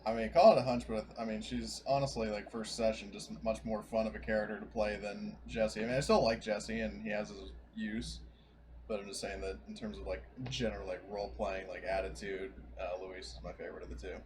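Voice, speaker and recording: A man's voice: lowish voice, flat delivery, a little fast, darkish tone. Casual man with noisy background. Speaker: player in a tabletop roleplaying game. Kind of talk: casual chat.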